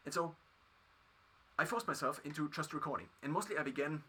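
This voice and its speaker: German-accented man, bright forceful voice, halting delivery with restarts, faint background hiss. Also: on the high side. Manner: halting, many restarts and fillers. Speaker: video creator. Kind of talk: reflective solo monologue.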